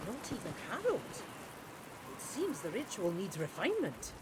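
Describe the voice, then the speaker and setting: A wicked witch from a fantasy game, her voice swinging wildly in pitch. She is cold, mocking and theatrical, and a loud rainy storm roars around her. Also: huge pitch swings, moderate pace. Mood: disdainful. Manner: sneering, theatrical. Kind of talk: video game villain dialogue. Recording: loud storm and rain noise.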